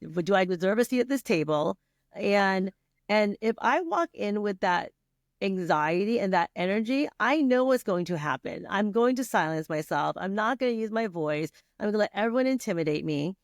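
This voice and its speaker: A woman's voice: mid-range voice, animated, normal speed, no room sound, clean studio sound. A middle-aged woman from Vietnam, guest on a podcast, talking casually with many fillers, restarts and laughs. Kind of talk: casual conversational interview.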